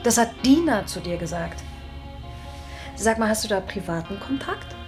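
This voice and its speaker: A woman's voice: medium pitch, lively intonation, normal speed, darkish tone, faint music behind, close to an everyday voice. An actress playing a shrewd, wry and gossipy female deputy boss in natural radio drama dialogue.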